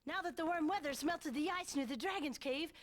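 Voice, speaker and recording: A woman's voice: sits high, brisk, lightly acted. A woman voicing a friendly young adventurer in a game, chatty and laughing between retakes. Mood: eager. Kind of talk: game voice-over recording session.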